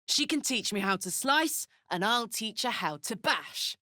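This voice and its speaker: An actress voicing a blunt, battle-hardened but warm-hearted woman warrior in a video game. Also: fairly high pitch, lively intonation, a little slow, no room sound, studio quality. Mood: playful, cocky. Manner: blunt, swearing, swinging between playful and intense. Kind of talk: video game character voice acting.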